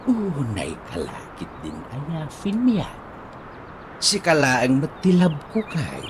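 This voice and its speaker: A man's voice: fairly high pitch, huge pitch swings, slow, full character voice. A man with an Ilocano accent playing a boastful, doting older father in a radio comedy drama, with exaggerated, teasing delivery. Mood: coaxing. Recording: noisy track with chirping birds.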